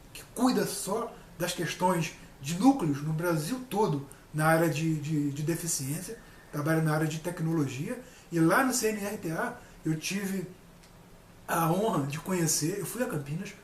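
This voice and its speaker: A man, middle-aged, giving an informal talk as a lecturer, earnest and emphatic, repeating phrases for stress. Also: sits high. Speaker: university lecturer and guest speaker. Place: indoor room with people present. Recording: echoey room, distant mic, faint noise.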